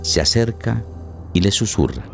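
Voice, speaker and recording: A man's voice: on the low side, animated, normal speed, vocal fry, music in the background. A man narrating a scripted radio drama in a dramatic storytelling style.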